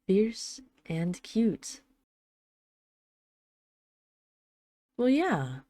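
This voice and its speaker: A woman's voice: on the low side, warm tone, no room sound. A woman playing a haughty, teasing dragon queen in an intimate audio roleplay, with an imperious, sarcastic, mock-sweet manner. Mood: amused, fond. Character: haughty, teasing dragon queen, possessive of her human pet husband. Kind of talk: intimate scripted audio roleplay addressed to the listener.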